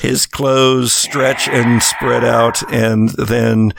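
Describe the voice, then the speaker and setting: A man working as game master, narrating a horror tabletop game in a halting way, searching for words. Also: medium pitch, level tone, creaky, noisy background.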